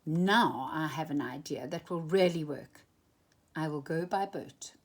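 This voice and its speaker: A woman's voice: sits low, measured pace. A woman voicing a cold-hating, hopeful and self-assured male penguin in a children's storybook, with emphatic declarations. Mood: confident.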